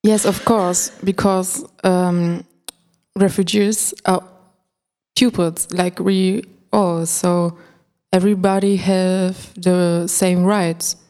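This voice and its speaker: Slow, mellow-voiced teen girl. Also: on the low side, little pitch movement. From Germany. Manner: halting, searches for English words. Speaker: podcast guest. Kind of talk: casual discussion.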